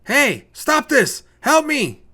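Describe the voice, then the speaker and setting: A man voicing an angry, foul-mouthed homeowner in a short story, given to shouted, exclamatory outbursts. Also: very high-pitched, wildly swooping pitch, slow pace, pitched above the performer's own voice, heavily acted. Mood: alarmed, panicked. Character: angry, foul-mouthed male homeowner, hot-tempered and defiant.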